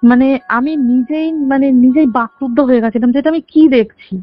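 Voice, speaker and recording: A woman's voice: high voice, a little fast. A woman of about twenty earnestly recounts a personal story in detail on a call. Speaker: caller telling their own story. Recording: dull band-limited phone call, faint music. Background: faint background music.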